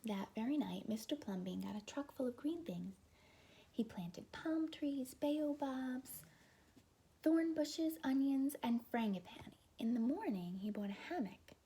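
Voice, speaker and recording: A woman's voice: a little high, dark tone, a little hiss or hum. A woman reading a children's picture book aloud as a teacher, expressively, with asides to young listeners.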